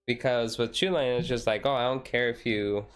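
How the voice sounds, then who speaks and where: A man streamer chatting casually in a relaxed, rambling way on a chill hobby stream. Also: medium pitch, normal speed, clear, resonant tone.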